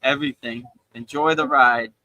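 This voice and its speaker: Bright-voiced man telling spiritual stories. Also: mid-range voice, steady tone, moderate pace, muffled recording. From Miami, Florida. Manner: chatty, drops in "you know" often, laughs between points. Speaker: spiritual teacher hosting a live talk. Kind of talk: casual spiritual storytelling. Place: outdoors in Thailand.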